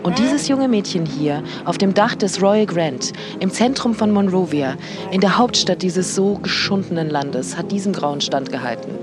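Radio play reporter acted by a woman: solemn, sentimental on-location delivery in a slightly low female voice, music underneath. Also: lively intonation, moderate pace, close to an everyday voice. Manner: pathos-laden, solemn, coaxing in asides. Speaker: media presenter. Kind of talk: radio drama acting as solemn on-camera report.

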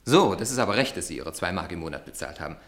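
A man's voice: low voice, big pitch swings, fast. A man playing a matter-of-fact radio station employee and announcer in an old radio play. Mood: irritated.

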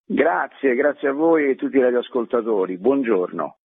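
A man's voice: medium pitch, lively intonation, moderate pace, husky. A man interviewed on radio as a theatre director, telling things in a conversational storytelling way. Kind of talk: radio interview, describing a play. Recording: phone-like narrow band, radio interview.

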